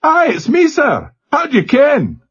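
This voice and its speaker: A man voicing a truculent young male seaman with a slurred Glasgow accent and a throaty, husky voice. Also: very high-pitched, huge pitch swings, a little slow, voice pushed up, exaggerated voice. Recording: dull lo-fi recording.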